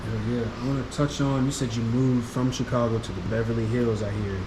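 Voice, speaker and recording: Man host, middle pitch, easygoing questions, steady air-conditioner hum behind. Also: brisk. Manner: easygoing, brief affirmations. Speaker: interview host. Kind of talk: casual interview questions.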